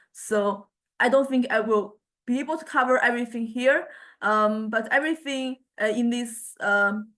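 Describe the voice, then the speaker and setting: A woman lecturing to a university class informally, speaking in halting phrases and often repeating words. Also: on the high side, fairly bright tone.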